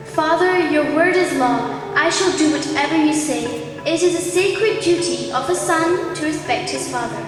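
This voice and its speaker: A virtuous, respectful young prince acted by a female performer, high in pitch. The earnest stage delivery is far from the mic in a big echoing hall. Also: normal speed, few pauses, natural voice. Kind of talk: school stage play acting. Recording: echoey gym hall, distant, music behind.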